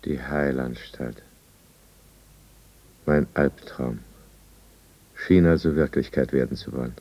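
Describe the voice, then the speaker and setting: A man's voice: very deep voice, slow, lo-fi sound, heavily acted. A man playing a proud, anxious and embittered prisoner in a 1950s German radio drama, formal and intense. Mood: bitter, narrating. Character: anxious, proud, embittered male prisoner telling his downfall.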